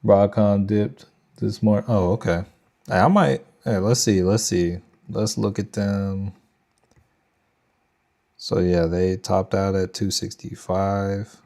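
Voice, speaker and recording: Young Texan man, trading stream host, loose slangy thinking-aloud delivery, mellow gravelly voice. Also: on the low side, steady tone, slow. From Texas. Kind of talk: casual live market commentary.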